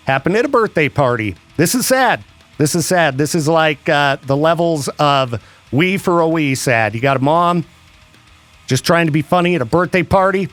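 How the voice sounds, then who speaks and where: A man's voice: a little high, a bit bright. Chatty, joking radio host, a man. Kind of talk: casual radio banter.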